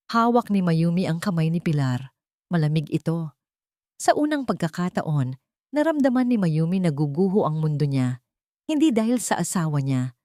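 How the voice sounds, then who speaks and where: A woman's voice: fairly low pitch, warm tone, dry recording, clean studio sound. A woman with a Filipino accent reading a scripted dramatic story as its narrator.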